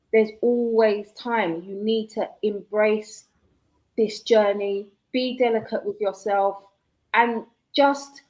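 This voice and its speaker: A woman's voice: mid-range voice, darkish tone, low-quality recording. Chatty woman in her forties. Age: 40s. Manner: chatty, laughs often, quick asides. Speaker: guest author. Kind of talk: casual interview chat.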